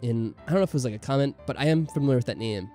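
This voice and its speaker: A man's voice: mid-range voice, rapid-fire, dark tone, soft background music. A man hosting a gaming video in casual chat, rambling and a little self-deprecating. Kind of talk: casual chat over a game playthrough.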